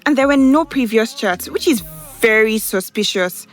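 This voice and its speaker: A woman's voice: fairly high pitch, lively intonation, normal speed, lightly acted. An actress playing a troubled young girlfriend in an audio drama, with a conversational, sighing delivery. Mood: hurt, suspicious. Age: young adult. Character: troubled young woman, suspicious and hurt girlfriend. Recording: faint music behind the voice.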